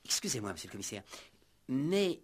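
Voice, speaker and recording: Hesitant, husky junior detective. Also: male voice, medium pitch, big pitch swings, brisk. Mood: puzzled, hesitant. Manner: hesitant, polite. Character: deferential, puzzled male junior police detective. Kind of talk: 1960s French radio play acting. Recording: 1960s radio drama recording.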